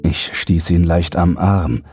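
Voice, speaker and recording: A man's voice: steady tone, slow pace, soft background music. A man reading a scripted first-person horror story as an audiobook narrator. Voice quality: deep, husky, mellow.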